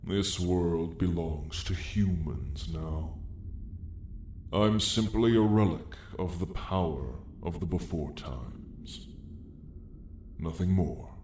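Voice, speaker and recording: A man's voice: low voice, slow pace, a little mellow. A man playing an ancient, weary dragon lord with dry menace, telling his tale in a grand, wry, old-fashioned way for an ASMR roleplay. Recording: roomy echo, faint background noise.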